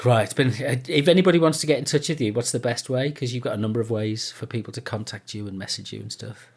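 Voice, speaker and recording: A man hosting a podcast in casual chat, often halting and repeating words and phrases as he talks. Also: mid-range voice, gravelly. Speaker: podcast host.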